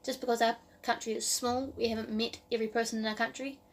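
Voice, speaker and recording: A woman's voice: on the high side, little pitch movement, fast. A woman in her early twenties with a New Zealand accent, talking casually to camera as a vlogger in a rambling way full of "like" and "um". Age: early 20s.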